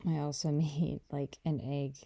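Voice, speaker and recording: A woman playing a gentle, affectionate girlfriend in an intimate ASMR roleplay, talking softly and hesitantly to a partner. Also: little pitch movement, heavily acted. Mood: relaxed, affectionate. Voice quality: dark, creaky low voice. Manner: soft, hesitant, unhurried conversational delivery.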